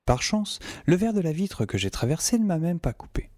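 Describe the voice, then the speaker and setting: A man's voice: a little high, very animated, moderate pace, noisy background. A man playing a wry, self-mocking survivor who narrates his misadventures in an audio serial with sardonic humour.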